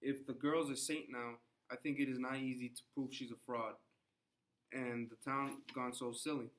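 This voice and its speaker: A man's voice: mid-range voice, little pitch movement, normal speed, a bit bright, natural voice. A man reading the part of a proud, defensive Puritan husband in a play, stern and exasperated. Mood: reluctant. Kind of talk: classroom play read-aloud.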